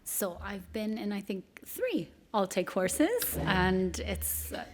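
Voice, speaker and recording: Woman from malta on a panel, normal pitch, talking casually as a delegate. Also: sing-song, slow pace, noisy background. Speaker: panel participant, institution delegate. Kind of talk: informal panel talk.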